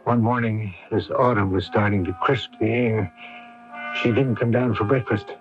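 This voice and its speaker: A man's voice: fairly low pitch, fairly even intonation, normal speed, natural voice. An actor playing an anxious, reticent middle-aged father in a radio drama, hesitant and pressing, stammering at times. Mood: reserved, reflective. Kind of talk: radio drama acting with first-person narration. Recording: dull noisy AM radio broadcast recording.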